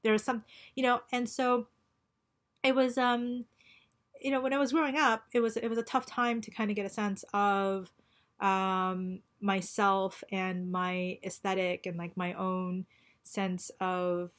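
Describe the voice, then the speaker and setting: Reflective woman in her forties, halting speech. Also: fairly low pitch, a bit bright. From born in Japan, raised in Canada. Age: 40s. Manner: halting and reflective, many ums and restarts. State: going through chemotherapy. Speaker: vlogger. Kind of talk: personal video diary.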